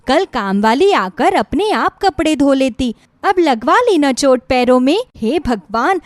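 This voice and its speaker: A woman's voice: sits high, animated, unhurried, no echo. A woman voicing a caring older mother-in-law in a moral story, fussing and insistent in a motherly way. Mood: worried scolding.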